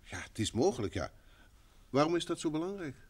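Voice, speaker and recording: An actor playing a polite, evasive gentleman in an old radio drama, formal and somewhat hesitant. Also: a little high, big pitch swings, a little fast. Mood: hesitant, uneasy. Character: polite, evasive gentleman, brother of a worried woman.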